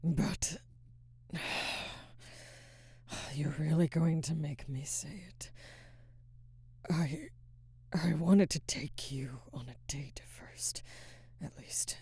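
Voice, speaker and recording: A woman playing a conflicted, protective alpha werewolf in an intimate ASMR roleplay, husky and halting, often slipping into a whisper. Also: sits low, warm tone, full character voice. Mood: pleading, conflicted. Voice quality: husky, breathy, frequently dropping to a whisper. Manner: halting, hesitant, sighing. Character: adult female alpha werewolf, conflicted, protective, barely restrained.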